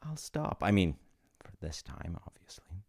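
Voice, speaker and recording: A flustered, chatty demigod of reincarnation, acted by a man, speaking straight to the listener in a comic roleplay. The voice is on the low side with a gravelly fry, and it swings animatedly up and down. The delivery is unhurried and halting, full of sheepish apologies and salesman-like coaxing. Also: big pitch swings, darkish tone, heavily acted. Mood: mildly indignant, wheedling. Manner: awkward, stammering, apologetic salesman-like patter. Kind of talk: comedic audio roleplay addressed to the listener.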